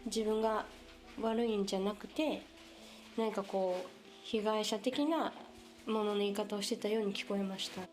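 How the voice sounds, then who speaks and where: A woman's voice: medium pitch, steady tone, quick pace, a little mellow, soft background music. A woman giving a spoken account of what she heard.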